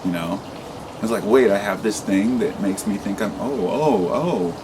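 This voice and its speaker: Man in his late thirties, deep low voice, rambling impassioned vlog rant, noisy background with passing traffic. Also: animated, moderate pace. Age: 30s. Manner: rambling and impassioned, with profanity and restarts. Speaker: vlogger. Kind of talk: unscripted monologue to camera.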